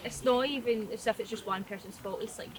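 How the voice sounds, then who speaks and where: A woman's voice: a little high, brisk, crowd noise. A woman giving casual opinions, searching a little for her words. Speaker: interviewee. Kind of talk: casual chat. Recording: very noisy, tinny phone-like sound.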